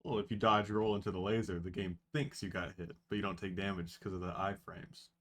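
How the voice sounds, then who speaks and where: A laid-back speedrunner walking through boss tactics in a low, even voice, as a man reads him. He sounds matter-of-fact and explanatory, like a game guide. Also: brisk. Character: calm male speedrunner giving strategy tips. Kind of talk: fan reading over voice call.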